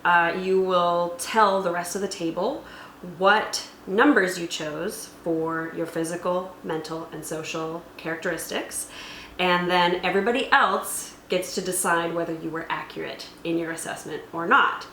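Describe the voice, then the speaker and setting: A woman's voice: on the low side, steady tone, a little slow, a bit bright. Woman explaining game rules, echoey room. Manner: methodical, step-by-step. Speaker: tabletop roleplaying host explaining rules. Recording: echoey room with faint background noise.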